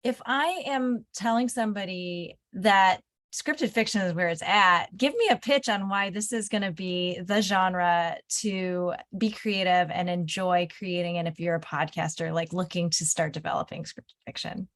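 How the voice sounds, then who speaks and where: A woman's voice: fairly low pitch, moderate pace, fairly bright tone. A woman moderating an online panel in a casual, effusive way, heaping praise on speakers and audience. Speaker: event moderator.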